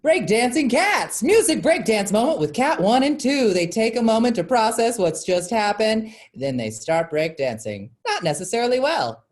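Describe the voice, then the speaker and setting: A woman's voice: fairly high pitch, sing-song, normal speed, few pauses, a little mellow. A woman reading stage directions as the narrator of a staged play, in scripted narration.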